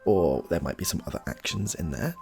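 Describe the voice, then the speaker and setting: British man, deep, lively creaky voice. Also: fairly quick, faint music behind. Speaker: video creator introducing his roleplay video. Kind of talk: casual talk to viewers.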